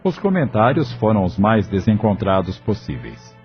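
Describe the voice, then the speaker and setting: A man's voice: fairly low pitch, huge pitch swings, normal speed, muffled recording. A Brazilian man reading scripted narration for an audio drama. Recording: band-limited recording with music bed. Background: music.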